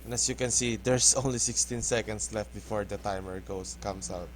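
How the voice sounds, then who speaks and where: Low-voiced Filipino-accented man, halting second-language English, casual game tutorial host. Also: fairly even intonation. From Philippines. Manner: hesitant, halting, restarts words often. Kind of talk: casual gameplay commentary.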